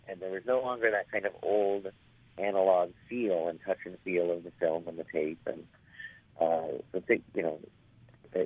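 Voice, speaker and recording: A man in his forties with a raspy, hoarse voice, telling stories as an interview guest and rambling with many fillers and restarts. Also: deep voice, no room sound. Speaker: actor guest. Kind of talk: casual interview storytelling. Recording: tinny phone line.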